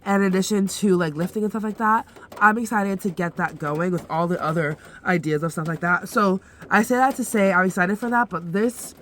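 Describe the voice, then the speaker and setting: A woman's voice: medium pitch, steady tone, brisk, a little hiss or hum. A young woman vlogging about van life, chatting casually in a rambling way with lots of fillers, her nose stuffed up from sinus pressure. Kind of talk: casual vlog chat to camera.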